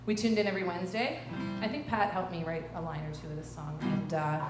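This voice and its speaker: A woman's voice: fairly low pitch, fairly even intonation, fast talker. Woman songwriter, rambling and self-deprecating, stage mic in a roomy hall with a guitar under her. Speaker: singer-songwriter. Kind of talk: casual song introduction on stage. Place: live music hall stage. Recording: echoey hall with guitar behind.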